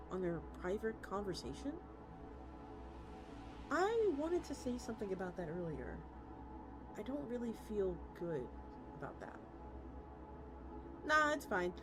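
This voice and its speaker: A woman's voice: on the high side, sing-song, unhurried, pauses often. A woman livestreamer reading a story aloud with acted dialogue and chatting casually with her viewers. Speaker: VTuber streamer. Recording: music playing behind the voice. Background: music.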